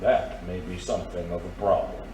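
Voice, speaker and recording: A man's voice: low-pitched, steady tone, brisk, dark tone, natural voice. A man playing an older, shifty, nervous underworld schemer in an audio drama, in a theatrical, wheedling manner. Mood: wary, sly. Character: old, fat, shifty, frightened underworld schemer. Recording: roomy, distant, faint noise.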